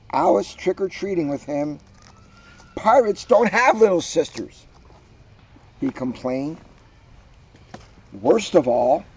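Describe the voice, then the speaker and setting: A man, a police officer, reading a children's book aloud with expressive, drawn-out emphasis and casual asides. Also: a little high, vocal fry. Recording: dull, muffled lo-fi sound.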